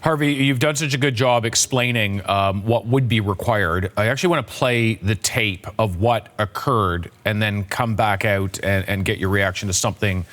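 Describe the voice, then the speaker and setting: A man anchoring a broadcast news interview, putting questions in measured, emphatic phrases. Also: on the low side, a bit bright.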